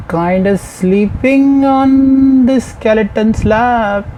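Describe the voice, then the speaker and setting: A reaction video host with an Indian accent and a voice pitched in the middle talks casually and thinks aloud. The tone is musing and conversational, with music clearly playing behind. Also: very slow. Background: music video soundtrack.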